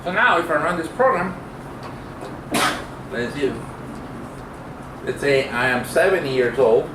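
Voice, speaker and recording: A middle-aged man gives an informal programming lecture at a slow, halting pace, thinking aloud as he works. He sounds distant from the microphone in an echoey room, with clear noise behind him. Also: fairly high pitch, expressive. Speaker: programming instructor. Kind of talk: informal classroom lecture with live coding demo. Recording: roomy, distant mic, clear background noise.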